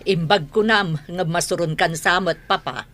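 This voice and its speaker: A woman's voice: on the low side, normal speed, fairly bright tone, slight background noise, close to an everyday voice. A woman with a Filipino accent playing a defiant, defensive grown daughter in a radio drama.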